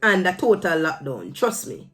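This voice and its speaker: A woman's voice: lowish voice, very animated, normal speed, fairly bright tone. Jamaican-accented woman giving emphatic opinion over music. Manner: emphatic, admonishing, slipping into Patois phrases. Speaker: vlogger giving her opinion. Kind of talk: casual opinion talk to camera.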